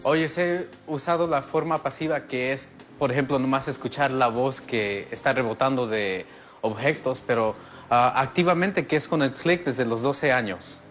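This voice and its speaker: Guest, 30s male, relaxed and witty, lapel mic in a studio. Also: mid-range voice, normal speed, faint music behind. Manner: easygoing, jokes back, describes objects step by step. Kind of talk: casual TV interview. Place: large TV studio set. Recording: faint studio noise.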